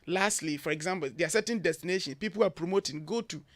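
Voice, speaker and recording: A man with a Ghanaian accent speaks in a fairly high, bright voice, earnest and emphatic as he lays out advice point by point. The sound is close and dry, with no room echo, as in a radio studio. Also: fast. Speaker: guest, education agency head.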